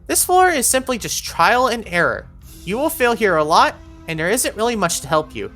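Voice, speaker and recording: Female game guide narrator, mid-pitched with animated delivery, encouraging and instructional, faint music underneath. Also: moderate pace. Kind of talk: instructional game walkthrough commentary.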